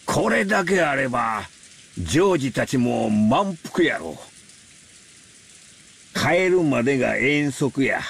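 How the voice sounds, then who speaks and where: A man's voice: mid-range voice, sing-song, moderate pace, gravelly, long pauses, a bit bright, husky, heavily acted. An actor with a Kansai accent voicing a hearty, boastful middle-aged man who talks boisterously. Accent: Kansai Japanese. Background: game music.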